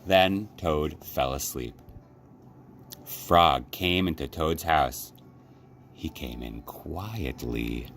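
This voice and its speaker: A man reading a children's storybook aloud as a library storyteller, with expressive, deliberate phrasing. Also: sits low, slight background noise.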